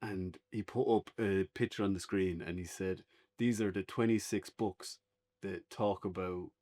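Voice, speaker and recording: A man's voice: low voice, fairly even intonation, a little slow, darkish tone. An Irish man chatting casually and unscripted as a podcast co-host reviewing a show. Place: indoors on a couch, podcast setup.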